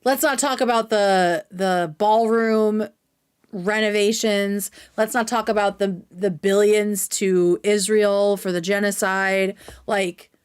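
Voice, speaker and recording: A woman's voice: mid-range voice, fairly even intonation, slow pace, smooth. An American woman with a mid-range voice hosts a livestream. She talks casually and conversationally, offering opinionated commentary in a blunt style with some swearing. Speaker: live stream host reacting to clips.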